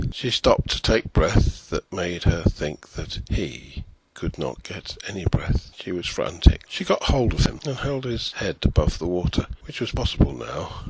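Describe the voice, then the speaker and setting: A man reading a fairy tale aloud as an expressive storyteller. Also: deep voice, a little hiss or hum, low-quality recording.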